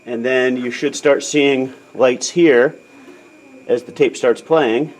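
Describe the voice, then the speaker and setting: A man's voice: mid-range voice, steady tone, slow, poor audio. An American man giving a casual hands-on demonstration as an instructor, explaining plainly in small steps and checking in as he goes.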